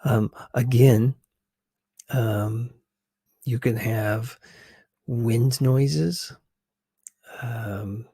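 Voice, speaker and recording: A man giving soft, unhurried ASMR commentary as a video host, hesitant and sometimes slipping toward a whisper. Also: medium pitch, steady tone, breathy edge, no room sound.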